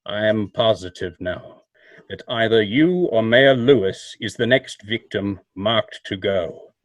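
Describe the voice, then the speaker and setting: Man as mysterious vigilante, old-time radio drama, low pitch, dry close sound, measured, commanding. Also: lively intonation, no echo. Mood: ominous, commanding. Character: commanding, ominous male crime-fighting vigilante.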